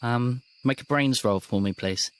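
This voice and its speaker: Man narrating as game master, mid-pitched. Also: moderate pace, smooth. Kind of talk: narrating a tabletop roleplaying game.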